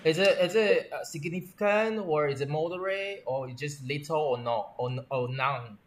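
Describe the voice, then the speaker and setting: Higher-voiced man asking halting questions. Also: background noise. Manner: halting, restarts and rephrases questions. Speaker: interviewer. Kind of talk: structured interview questions.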